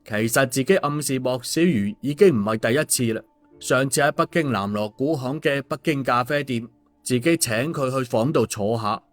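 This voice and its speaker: A man's voice: medium pitch, moderate pace. A man narrating a scripted story, as an audiobook reader. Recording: music under the voice. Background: background music.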